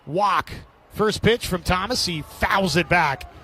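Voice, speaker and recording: High-pitched, bright male baseball announcer. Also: slow, husky. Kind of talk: live radio sports commentary.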